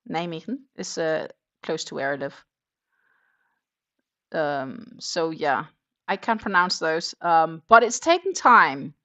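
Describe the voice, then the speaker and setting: A woman's voice: deep voice, expressive, takes it slowly, bright, forceful tone, highs cut off. A woman hosting a casual crochet livestream, chatting, reading out viewer comments and counting her stitches aloud. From Denmark. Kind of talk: casual chat while crocheting.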